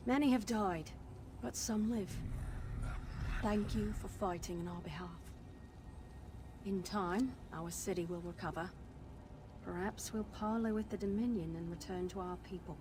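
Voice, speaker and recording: A woman plays a brave elf woman who leads her village in a fantasy game. She speaks solemnly and sounds worn down and resigned, in an even, mid-range voice. A clear layer of game ambience sits behind her. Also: mid-range voice, measured pace, pauses often, background noise, close to an everyday voice. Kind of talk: video game voice acting.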